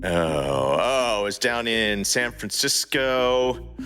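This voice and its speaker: A man's voice: on the low side, big pitch swings, takes it slowly, sharp tone, soft background music. A man co-hosting a fan fiction podcast with playful banter. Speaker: podcast co-host.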